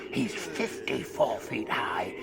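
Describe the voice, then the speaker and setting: An actor playing a kindly, bumbling old giant in a children's audio drama, talking in quaint, muddled grammar. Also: male voice, on the low side, animated, takes it slowly, gravelly, background noise, exaggerated voice. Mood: hushed, fearful.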